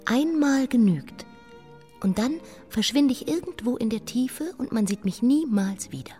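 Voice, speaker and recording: A woman's voice: fairly high pitch, animated, measured pace, dark tone, slightly rough, faint music behind. An actress playing a defiant, sardonic young queen in a radio drama, blunt and challenging. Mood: defiant, probing.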